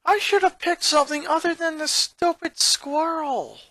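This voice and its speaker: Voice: sits high, normal speed, fairly bright tone, dry recording, voice pushed up. A narrator voicing a frustrated, sulky preteen boy in a story reading, exasperated and indignant. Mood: angry ranting. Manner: exasperated ranting and complaining.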